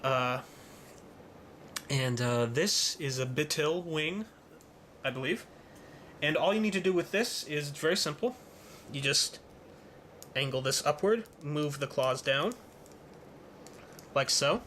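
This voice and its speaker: Male hobbyist presenter, bright forward tone, casual halting tutorial delivery, noticeable background noise. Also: fairly high pitch. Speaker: hobbyist presenter of a toy-building how-to.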